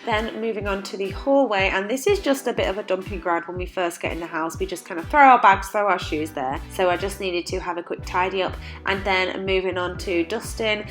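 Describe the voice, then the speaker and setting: A woman's voice: medium pitch, a little fast, few pauses, soft background music. A young woman with a stuffy, congested voice from a cold, chatting casually in a voice-over. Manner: chatty, with frequent "like" fillers. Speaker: cleaning vlogger.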